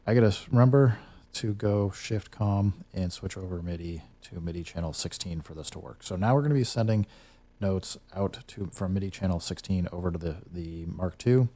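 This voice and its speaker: Male tutorial host: deep, mellow tone, casual and unscripted, thinks aloud as he demonstrates. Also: fairly quick. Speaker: host of a music gear tutorial series.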